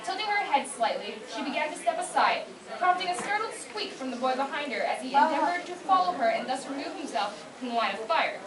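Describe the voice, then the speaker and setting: A woman's voice: high voice, expressive, brisk, hardly any pauses, crowd noise. A young woman reading scripted fan fiction narration aloud at a convention panel. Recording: echoey noisy room, far from mic.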